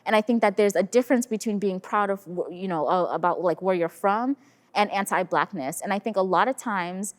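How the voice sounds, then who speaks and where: A woman of Haitian heritage speaking as a mentor in a casual panel discussion, emphatic and animated, with many fillers. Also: mid-range voice, brisk. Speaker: staff mentor.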